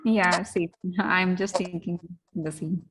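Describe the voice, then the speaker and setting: A woman's voice: fairly low pitch, steady tone, takes it slowly, fairly bright tone, rough recording. A woman student in an online class, giving short replies and laughing often.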